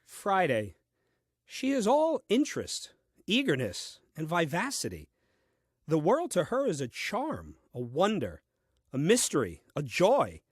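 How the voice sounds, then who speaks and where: An actor playing a literal-minded, puzzled and grumbling Adam in a comic diary-style audio play, with a deliberate, dryly pedantic delivery. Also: male voice, a little high, huge pitch swings, husky, dry recording, exaggerated voice. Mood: wry admiration. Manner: deliberate, theatrical, dry and pedantic.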